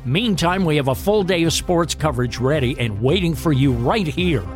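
A man hosting a sports radio show, asking questions and signing off in a measured, courteous way. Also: fairly high pitch, animated, faint music behind. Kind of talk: radio interview and sign-off.

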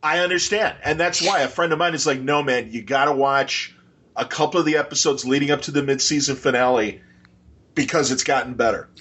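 A man's voice: medium pitch, fairly quick, a bit bright, slightly rough. A man hosting a podcast, chatting casually and enthusiastically in an informal, rambling way. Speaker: podcast host.

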